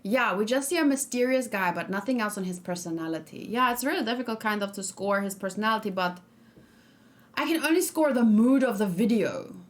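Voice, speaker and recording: A woman's voice: mid-range voice, lively intonation, fairly quick. A young adult woman chatting casually on a livestream as she reacts to a game trailer, frank and rambling, with lots of fillers and restarts.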